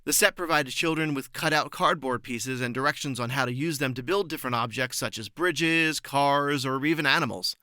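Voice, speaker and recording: A man giving prepared, articulate answers as an interview guest, informative and measured in his phrasing. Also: mid-range voice, sharp tone, no echo, clean studio sound.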